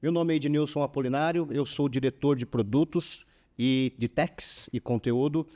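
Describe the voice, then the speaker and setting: A Brazilian man hosting a corporate talk, speaking formally and measured with hesitant fillers. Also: medium pitch, warm tone. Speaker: host, company product director.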